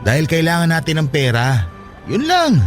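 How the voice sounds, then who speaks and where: Broke defensive dad, brusque, talks fast, kinda high pitch that jumps around, radio drama. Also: male voice, wildly swooping pitch, soft background music. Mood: exasperated. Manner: brusque, argumentative, self-justifying. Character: defensive, exasperated poor father scraping for money. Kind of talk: radio drama acting in Tagalog.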